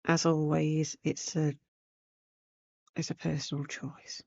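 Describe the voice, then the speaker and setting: A woman with a croaky, hoarse voice from a cold, giving a casual, chatty craft tutorial and thinking aloud as she goes. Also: sits low, flat delivery, slow, a little mellow, lo-fi sound. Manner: unscripted, rambling, thinking aloud while working. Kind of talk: casual chatty needlework demonstration.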